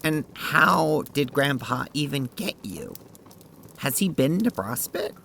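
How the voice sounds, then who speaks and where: A woman's voice: deep voice, expressive, slow pace, gravelly, a bit bright, slight background noise, heavily acted. A woman playing an irritable, blunt, foul-mouthed girl in an audio drama. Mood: irritated, demanding. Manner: blunt, exasperated, swearing. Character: irritable, foul-mouthed girl shaken by her strange dreams. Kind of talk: audio drama character acting.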